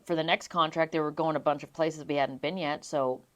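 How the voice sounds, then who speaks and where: A young adult woman from Las Vegas, a singer being interviewed, telling her stories in an animated way and laughing often. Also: deep voice, brisk. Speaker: guest singer. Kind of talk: casual interview chat.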